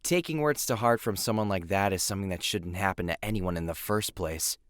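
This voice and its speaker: A man's voice: low voice, brisk, fairly bright tone, no room sound. Man playing a cool, wisecracking youth, dry recording. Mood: reassuring. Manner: teasing, sardonic, foul-mouthed. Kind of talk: anime-style audio drama acting.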